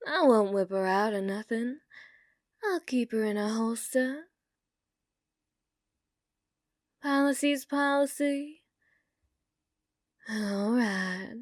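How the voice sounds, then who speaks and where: A woman playing a flirtatious, teasing, dominant female bounty hunter in a western ASMR roleplay, coaxing with drawn-out endearments. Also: on the high side, no room sound, clean studio sound. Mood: wry, persuasive. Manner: coaxing, drawling endearments, drops into breathy whispers. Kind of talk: ASMR roleplay, scripted character acting.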